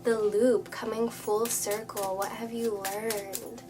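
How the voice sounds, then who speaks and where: A woman with a slightly nasal, congested voice giving a spoken tarot reading to her viewers, earnest and soothing, often dropping into a hushed whisper. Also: on the high side, flat delivery, takes it slowly. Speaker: tarot reader. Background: background music.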